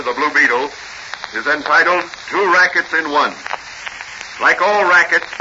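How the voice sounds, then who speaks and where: A man's voice: fairly high pitch, background noise, natural voice. A man narrating a crime-fighter radio serial as a dramatic, declamatory announcer in 1940s radio style. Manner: theatrical, declamatory. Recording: muffled old 1940s radio recording, music behind.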